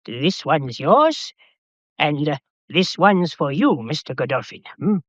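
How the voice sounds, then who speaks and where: A man's voice: on the high side, very animated, takes it slowly, fairly bright tone, dry recording, muffled recording, full character voice. An actor playing a polite, dutiful male employee in a radio drama, formal, hesitant and deferential.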